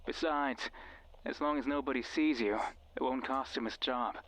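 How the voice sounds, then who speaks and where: An actor playing a mysterious, controlling friend who coaxes and instructs the listener over a radio in a horror game. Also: male voice, mid-range voice, moderate pace, fairly bright tone, close to an everyday voice, low-quality recording. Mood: coaxing. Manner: instructing, coaxing, addresses listener directly. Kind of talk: horror visual novel voice acting. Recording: music behind the voice.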